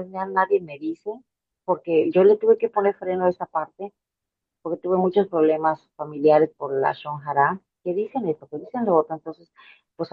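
A woman in her fifties with a Mexican Spanish accent speaks with a gravelly, creaky edge. She talks earnestly and hesitantly, often restarting her sentences. Her voice comes through a thin, phone-like line. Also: low voice. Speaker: person giving a personal testimony. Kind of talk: emotional personal testimony.

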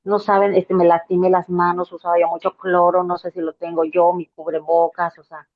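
A woman in her fifties with a Mexican Spanish accent speaks with a gravelly, creaky edge. She talks earnestly and hesitantly, often restarting her sentences. Her voice comes through a thin, phone-like line. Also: fairly low pitch, little pitch movement, moderate pace. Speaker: person giving a personal testimony. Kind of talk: emotional personal testimony.

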